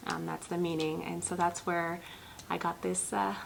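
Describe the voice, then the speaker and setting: A woman's voice: on the low side, flat delivery, normal speed, vocal fry, a little hiss or hum, low-quality recording. A woman in her thirties from Ethiopia, a pediatric nurse practitioner hosting her own channel, talking earnestly to viewers with lots of ums. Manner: earnest, many ums, speaks directly to viewers. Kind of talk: personal talk to camera.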